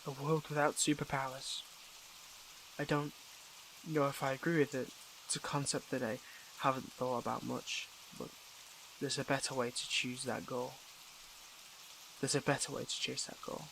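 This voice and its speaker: Man acting gravelly, conflicted, tender superhero. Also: mid-range voice, little pitch movement, fairly bright tone, a little hiss or hum, rough recording. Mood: thoughtful. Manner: halting, earnest, emotional delivery. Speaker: voice actor in an audio roleplay. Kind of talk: intimate scripted audio roleplay acting.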